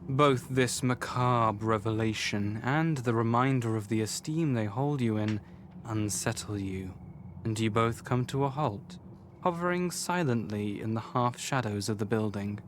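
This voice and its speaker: A man reading scripted literary narration for a visual novel in a measured, formal storytelling manner. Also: fairly low pitch, a little hiss or hum.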